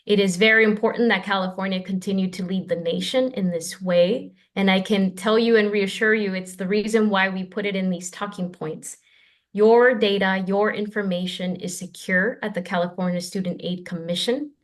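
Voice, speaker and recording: A woman's voice: mid-range voice, steady tone, normal speed. A woman speaking as a state aid official, giving firm, reassuring remarks at a news briefing over a remote call.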